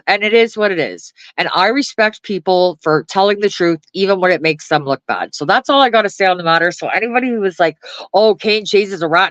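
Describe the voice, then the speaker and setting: Woman streamer, mid pitch, dry close phone sound, blunt and swearing, chatty. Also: fairly bright tone, no room sound, lo-fi sound. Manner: blunt, swears constantly, laughs often, talks to chat and dog. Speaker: livestreamer. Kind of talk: profane casual live-stream rant and chat. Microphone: phone held close.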